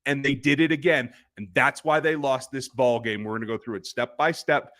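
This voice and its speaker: A man's voice: medium pitch, steady tone, moderate pace, fairly bright tone. A man hosting a live post-game sports reaction show, with a fired-up, ranting delivery. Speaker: podcast host.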